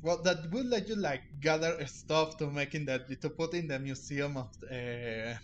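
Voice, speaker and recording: A man doing casual let's-play commentary, thinking aloud in a halting way. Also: on the high side, dull audio, a little hiss or hum. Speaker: gaming streamer. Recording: game music behind the voice.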